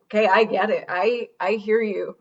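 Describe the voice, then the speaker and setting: Casting: actress for a sardonic, regretful woman radio host, natural middle pitch, comedy radio drama, slightly dull recording. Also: fairly even intonation, moderate pace, husky. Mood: defensive, regretful. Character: wry, tipsy woman radio show host, regretful and lonely.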